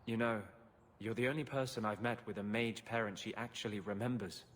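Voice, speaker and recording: An earnest mage from a fantasy game played by a man. His lowish voice is reflective and sombre, ringing in a long reverb. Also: fairly even intonation, a little fast, fairly bright tone, a little hiss or hum, close to an everyday voice. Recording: long reverberant hall echo.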